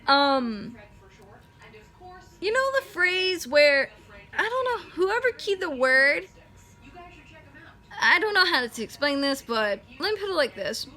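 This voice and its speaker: A woman's voice: high-pitched, sing-song, slow, long pauses, sharp tone. A nineteen-year-old woman talking casually to camera, rambling and correcting herself as she explains. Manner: rambling, self-correcting, chatty. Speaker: vlogger.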